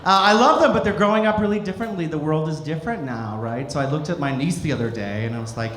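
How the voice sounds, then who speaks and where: A middle-aged American man doing live stand-up comedy, telling stories with deliberate pauses and acted-out quotes. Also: on the high side, fairly bright tone. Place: indoor comedy club stage. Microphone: stage microphone. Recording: roomy echoing club, some noise.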